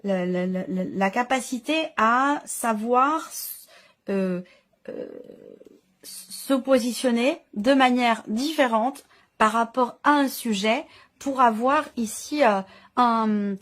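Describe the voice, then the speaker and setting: A woman with a fairly high voice reads tarot cards aloud for her viewers. She improvises as she goes, searching for words and rephrasing each idea in several ways. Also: slow. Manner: hesitant, searching phrasing with repeated enumerations. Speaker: tarot card reader and channel host. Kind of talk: intuitive tarot reading, improvised spoken commentary.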